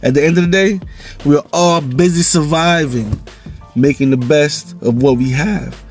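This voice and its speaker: A man's voice: a little high, a little slow, soft background music. A man from Jamaica with a Jamaican accent, talking loosely in a casual vlog monologue and often tossing in "you know". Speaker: vlog host.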